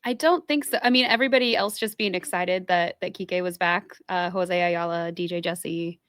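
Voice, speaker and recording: A woman co-hosting a podcast in casual chat, with quick, teasing banter. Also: lowish voice, steady tone, fairly bright tone, smooth, dry recording, clean studio sound. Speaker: podcast co-host.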